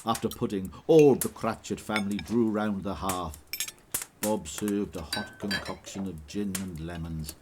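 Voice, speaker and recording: A man reading the narration of a radio drama in a measured storytelling way. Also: deep voice, background noise. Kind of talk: scripted narration.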